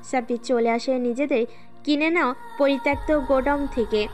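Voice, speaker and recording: A woman's voice: sits high, steady tone, moderate pace, soft background music. A woman reading scripted story narration as the narrator of an audio tale.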